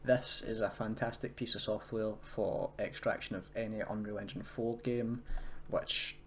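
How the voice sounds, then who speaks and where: A man with a fairly low voice talks through a software tutorial in a casual, unscripted manner. He is hesitant as he explains each step. Light noise and some keyboard typing sit behind him. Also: flat delivery, moderate pace. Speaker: tutorial presenter. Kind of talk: casual screen-recorded tutorial. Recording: noisy home recording, keyboard typing.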